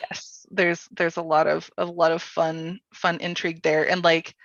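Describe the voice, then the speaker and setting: The recording is roomy and a little dull. A woman with a slightly low voice talks casually about tabletop games. She is informal and halting, thinking out loud as she goes. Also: little pitch movement, creaky. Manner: informal, halting, thinking aloud with many likes. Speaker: panelist at a tabletop roleplaying storytelling workshop.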